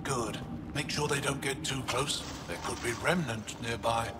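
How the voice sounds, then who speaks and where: An actor playing an upbeat alien male lead researcher who talks in a brisk, matter-of-fact way. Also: medium pitch, loud music behind the voice, natural voice. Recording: echoey game audio with ambience.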